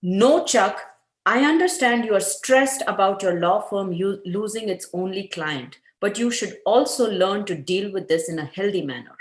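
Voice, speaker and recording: A woman's voice: mid-range voice, lively intonation, normal speed, lightly acted. A woman in an amateur table read playing a firm, earnest doctor wife who scolds her husband about his health. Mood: firm, chiding. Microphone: video call. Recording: roomy, echoing video-call audio.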